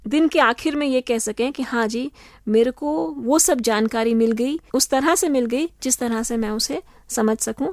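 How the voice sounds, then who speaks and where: A woman law professor answering interview questions in a measured, explanatory way, slipping in English phrases. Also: a little high, dry recording, clean studio sound. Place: radio studio.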